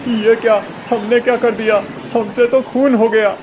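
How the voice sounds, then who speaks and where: A man's voice: very high voice, flat delivery, measured pace, background noise. A man playing a brash, bullying but cowardly street thug, dramatically acted for a cartoon story. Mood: panicked. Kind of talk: Hindi cartoon story acting.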